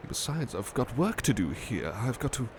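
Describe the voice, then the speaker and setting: A man playing a gentle, bashful butler turned into a cuddly plush doll in an ASMR roleplay, speaking tenderly and politely with hesitant pauses. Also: mid-range voice, very animated, noisy background, lightly acted. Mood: hesitant, hopeful. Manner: hesitant, polite, tender, halting with soft repetitions. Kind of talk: ASMR roleplay, soothing personal attention.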